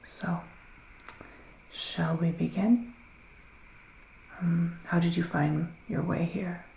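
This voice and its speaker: A man playing a gentle, theatrical male witch psychic in an ASMR roleplay, speaking in a breathy, sighing, partly whispered hush. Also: a little high, steady tone, takes it slowly, roomy sound, a little hiss or hum, low-quality recording. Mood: welcoming, hushed. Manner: breathy, sighing, hushed, dramatic. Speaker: ASMR performer.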